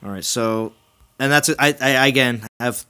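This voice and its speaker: Bright-voiced easygoing male streamer. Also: medium pitch, moderate pace, clean tone. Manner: easygoing, lots of yeahs and mm-hmms, stammering restarts. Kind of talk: casual chat on a game stream.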